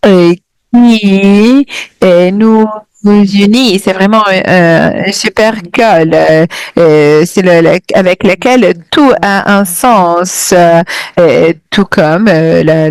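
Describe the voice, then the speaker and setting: A woman's voice: medium pitch, a little mellow, clean tone, no echo. A woman interpreting a lecture live over a video call, hesitant and full of fillers and restarts.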